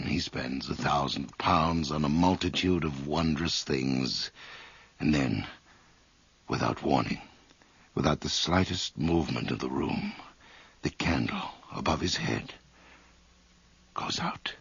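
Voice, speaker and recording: Extremely deep, husky horror narrator. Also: male voice, a little slow, pauses often, a bit bright, no echo, full character voice. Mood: ominous, hushed. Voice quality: husky, breathy, gravelly. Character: ominous, slow storyteller of a horror tale. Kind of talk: scripted horror radio narration. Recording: slightly dull 1980s radio recording.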